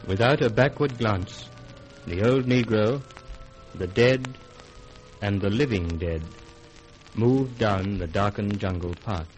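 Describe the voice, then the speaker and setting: A male actor narrating a radio drama in a grave, measured, scripted storytelling manner. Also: fairly low pitch, soft background music, rough recording. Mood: solemn. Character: solemn radio play narrator.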